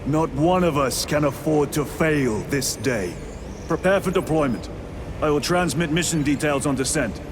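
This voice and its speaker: An actor playing a stern space marine lieutenant in a video game, briefing a squad in formal, solemn military speech. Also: male voice, a little high, normal speed, background noise, close to an everyday voice. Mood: solemn, determined.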